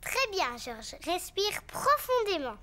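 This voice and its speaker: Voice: extremely high pitch, big pitch swings, takes it slowly, sharp tone, full character voice. Une interprète de dessin animé qui joue une petite cochonne enjouée, un peu autoritaire et enfantine. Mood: playful, bossy. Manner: playful, childlike. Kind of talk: French cartoon dubbing.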